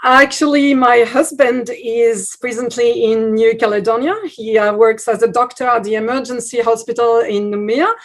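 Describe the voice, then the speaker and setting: A woman's voice: a little high, little pitch movement, moderate pace, hardly any pauses. A woman from France with a French accent, a tourism representative speaking as a webinar guest, friendly and hesitant with fillers. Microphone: video call.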